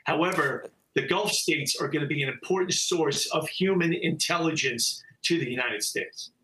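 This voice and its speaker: A man, a former intelligence officer, giving measured analysis with some hesitations in a live news interview. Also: on the high side, sharp tone, roomy sound, rough recording.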